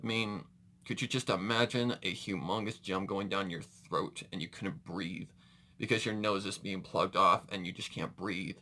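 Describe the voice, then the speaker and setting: A man with a fairly low, bright and forceful voice hosts a casual reaction video. He talks in a rambling, unscripted way, often doubling back to correct himself and dropping in mild slang exclamations. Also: steady tone, moderate pace.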